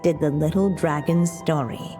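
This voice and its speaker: A woman playing a poised, tender, motherly witch who narrates a fantasy game's storybook in measured, theatrical, ornate phrases. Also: low-pitched, mellow. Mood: gentle, storytelling. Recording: long reverb, music behind.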